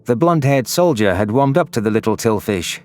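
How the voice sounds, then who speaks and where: A man reads first-person science fiction narration in a medium-pitched voice. Faint music plays behind his scripted storytelling. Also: animated, brisk, a little mellow. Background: background music.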